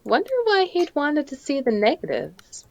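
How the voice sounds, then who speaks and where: Woman playing a nervous, high-voiced, naive photographer. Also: wildly swooping pitch, moderate pace, gravelly, no echo, full character voice. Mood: uneasy. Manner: hesitant, stammering, courteous. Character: nervous, polite, naive photographer, easily startled. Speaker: amateur voice actor in live table read. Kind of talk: old-time radio drama acting.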